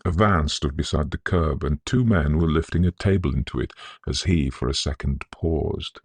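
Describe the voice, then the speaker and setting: Very deep, husky male narrator. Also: a little mellow, no echo. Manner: scholarly, measured, atmospheric delivery. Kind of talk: scripted narration of a Victorian ghost story.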